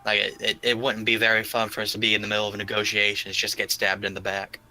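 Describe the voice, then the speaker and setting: A man's voice: lowish voice, monotone, quick pace, sharp tone, rough recording. A man playing a tabletop roleplaying game, chatting in a casual, slangy way.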